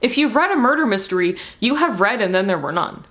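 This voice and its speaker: A woman's voice: fairly high pitch, lively intonation, fast, fairly bright tone. A young woman giving a casual, rambling book review as a vlogger, speaking candidly and informally with emphatic repeated words.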